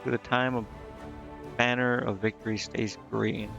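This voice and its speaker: A man with a fry-edged, gravelly voice gives casual game-stream commentary, muttering his thoughts and reading text aloud. The mic is a bit dull and lo-fi, with music clearly playing behind him. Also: medium pitch, fairly even intonation, a little slow.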